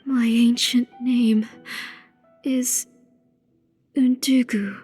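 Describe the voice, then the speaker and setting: A woman playing a middle-aged, grief-stricken and obsessive mother in a video game, halting and anguished in delivery. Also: on the high side, fairly even intonation, mellow, breathy edge, no room sound, faint music behind, heavily acted. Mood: shaken, remorseful. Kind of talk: video game drama acting.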